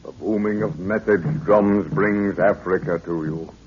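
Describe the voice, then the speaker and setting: A man's voice: deep voice, fairly even intonation, measured pace, darkish tone, a little hiss or hum. A male announcer with a solemn, portentous manner, narrating a 1930s radio serial in dramatic style. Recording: muffled 1930s radio recording.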